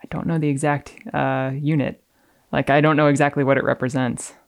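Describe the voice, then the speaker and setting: A woman's voice: extremely low pitch, flat delivery, fairly quick, no echo. A woman speaks on a science podcast in a very dry recording with no room sound. She reads technical phrases with careful enunciation and explains them conversationally. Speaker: podcast guest doing dramatic readings and explaining science. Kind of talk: dramatic reading of technical text mixed with conversational explanation.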